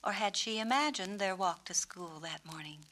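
A woman's voice: medium pitch, lively intonation, moderate pace, fairly bright tone. A woman narrating a children's storybook expressively, as its author. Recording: noisy 1970s children's story record.

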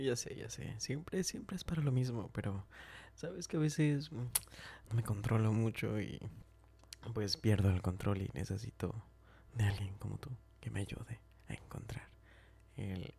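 A man playing a smitten, tender and teasing best friend in an ASMR roleplay, speaking softly and hesitantly, often whispering and repeating himself. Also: fairly low pitch, unhurried, full character voice. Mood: gently teasing. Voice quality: soft, breathy, often whispering. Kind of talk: ASMR roleplay, intimate chat.

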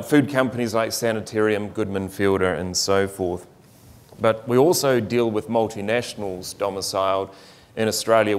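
A middle-aged man answers on a conference panel, laying out his points in a measured, explanatory way. His voice sits low and keeps a flat, even melody. The sound is taken in a conference room. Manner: measured, explanatory, listing examples.

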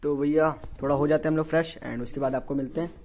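A man's voice: on the high side, fairly even intonation, fast talker, darkish tone, slight background noise. A young man chatting casually and informally to viewers as a travel vlogger, using slang, on a handheld phone. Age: young adult. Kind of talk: casual vlog chat to viewers. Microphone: phone held at arm's length.

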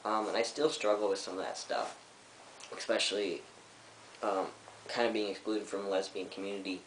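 A young man in his twenties sits on a living-room couch and talks to his camera in a casual vlog, halting and full of fillers. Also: fairly low pitch, fairly even intonation, a bit bright. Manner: halting, many fillers and restarts. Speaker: vlogger. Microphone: camera in front of him. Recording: roomy with faint hiss.

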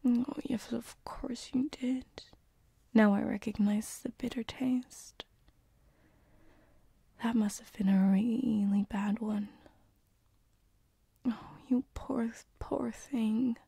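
A woman playing a gentle, teasing sleep paralysis demon in a whispered ASMR roleplay, close on a binaural microphone, with a breathy, hesitant delivery. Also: fairly high pitch, fairly even intonation, no echo, heavily acted. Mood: sympathetic, soothing. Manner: slow, soothing, hesitant, teasing.

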